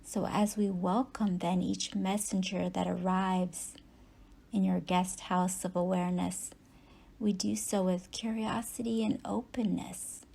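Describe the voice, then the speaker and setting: A woman teaches guided meditation at a very slow pace, leaving long pauses between short phrases. Her voice is mellow and relaxed, with a soft, husky breathiness. She gives gentle instructions without hurry. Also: on the low side, poor audio.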